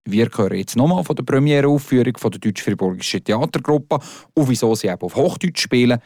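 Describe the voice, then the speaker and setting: A man presenting a radio magazine with a Swiss German accent, relaxed and casual. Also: mid-range voice, a little fast, no room sound, studio quality.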